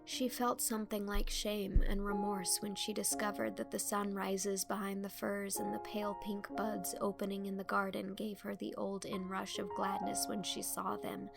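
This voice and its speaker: Creaky-voiced woman narrating a storybook over music. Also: medium pitch. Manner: measured, expressive storybook reading. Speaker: audiobook narrator. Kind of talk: scripted narration of a classic novel. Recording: music under the voice. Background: instrumental music.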